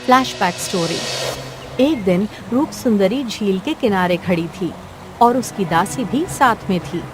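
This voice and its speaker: A woman's voice: medium pitch, expressive, unhurried. Narrator for a cartoon fairy tale: woman, middle-register voice, music underneath. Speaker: story narrator.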